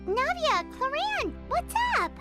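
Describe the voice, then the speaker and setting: A woman voicing a small, excitable, curious fairy-like girl companion in a video game, with a squeaky childlike voice. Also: slow pace, heavily acted. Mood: bubbly, curious. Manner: refers to self in third person, exclaiming. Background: playful music.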